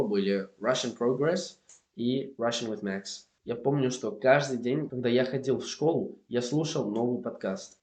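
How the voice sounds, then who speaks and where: A man's voice: medium pitch, darkish tone, roomy sound. A young man from Spain with a Spanish accent, speaking Russian as a learner in an earnest, encouraging vlog. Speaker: language learning vlogger. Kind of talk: casual vlog talk to camera.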